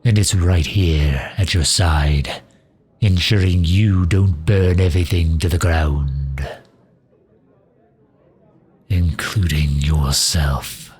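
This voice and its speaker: A man performs a loyal mage advisor in a fantasy ASMR roleplay. His voice is very low and husky, with plenty of breath in it. He speaks slowly, in a dry, sharp-tongued grumble that breaks into hesitations. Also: steady tone, heavily acted. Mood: sardonic, prickly. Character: sardonic, loyal male mage advisor to a demon ruler. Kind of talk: intimate ASMR roleplay acting.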